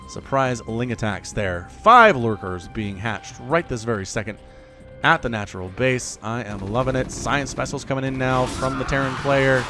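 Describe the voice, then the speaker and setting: A man in his thirties giving solo play-by-play commentary on a strategy game match, chatty and excitable with jokey asides. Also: mid-range voice. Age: 30s. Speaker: game caster.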